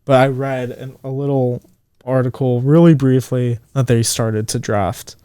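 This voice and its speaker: A man in his twenties co-hosting a casual podcast chat, swearing freely, his voice jumping high when he gets indignant. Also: steady tone, unhurried, dark tone, clear, resonant tone, dry recording, studio quality. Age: 20s. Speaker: podcast co-host.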